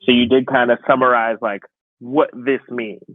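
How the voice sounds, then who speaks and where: A man's voice: mid-range voice, flat delivery, moderate pace, no room sound. Man, tinny phone-like audio. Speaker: podcast co-host. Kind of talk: casual conversational podcast chat. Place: remote, away from studio.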